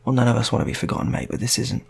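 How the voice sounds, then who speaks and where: A man voicing an exasperated unarmed hostage who scolds and pleads in a halting, repetitive, fatherly way, in an improvised story. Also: mid-range voice, animated, creaky, breathy edge, full character voice. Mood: consoling.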